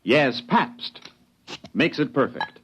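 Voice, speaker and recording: A man's voice: medium pitch, animated, slow, fairly bright tone. A man reading a scripted radio advertisement as a 1950s commercial announcer. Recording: dull lo-fi 1950s radio, faint music.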